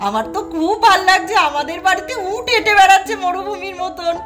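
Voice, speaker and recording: A contented village mother in a children's doll tale voiced by a woman. She speaks admiringly at a very high pitch. Music is clearly audible behind. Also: fast. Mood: pleased, admiring. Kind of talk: amateur doll-story voice acting.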